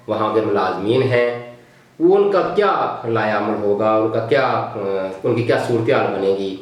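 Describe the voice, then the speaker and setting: Heavily echoing room, Pakistani man, medium pitch, vlog-style political commentary, unscripted, halting phrasing. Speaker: news commentary vlogger. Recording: very echoey, reverberant room.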